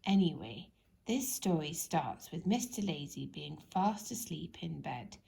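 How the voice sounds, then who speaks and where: A woman reading a children's bedtime story expressively, in a gentle, drawn-out way that drops into hushed tones. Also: sits low, expressive, creaky. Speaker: storybook reader.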